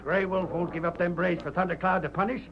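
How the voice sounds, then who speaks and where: A man's voice: sits high, steady tone, fast talker, creaky, a crowd in the background. An actor playing a cowardly, scornful henchman in an old radio Western, with a folksy, sneering manner. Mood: scheming. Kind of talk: 1930s radio acting. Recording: dull, noisy old radio recording.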